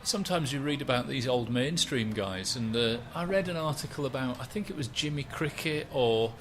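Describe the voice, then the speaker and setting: A man's voice: mid-range voice, lively intonation, moderate pace, fairly bright tone. Comic guy in his late 30s, wry and rambly, faint hiss behind. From West Yorkshire, England. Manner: rambling, wry, self-mocking. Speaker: stand-up comedian guest. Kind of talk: relaxed interview chat.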